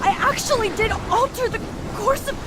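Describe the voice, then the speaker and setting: An actress playing a gentle, guilt-ridden young student with an American accent, speaking haltingly and earnestly in an emotional video game drama. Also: female voice, extremely high pitch, animated. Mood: guilty, anguished. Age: young adult. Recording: loud storm and wind behind. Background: storm, wind.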